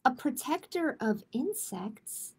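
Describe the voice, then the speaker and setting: Lady with a highish voice doing a kids storytime, simple careful phrasing like a librarian. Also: lively intonation, slow pace, creaky, husky. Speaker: children's librarian hosting storytime. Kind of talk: live picture-book storytime for children.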